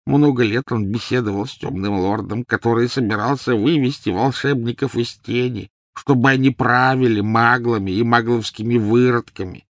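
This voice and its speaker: A man voicing an old male house elf servant from a storybook, servile and anguished, with a croaking, wheezy, halting delivery. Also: medium pitch, fairly even intonation, no echo, clean studio sound, voice pushed up, natural voice. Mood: mournful reverence. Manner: wailing, halting, drawn-out slow speech, speaks of self in third person.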